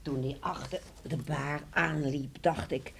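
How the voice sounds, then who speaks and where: A resigned, sympathetic older villager in a radio play. She talks slowly and ruefully with a gravelly creak, over faint background noise. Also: low-pitched, steady tone, fairly bright tone, full character voice. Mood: sighing, pitying. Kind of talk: radio drama acting.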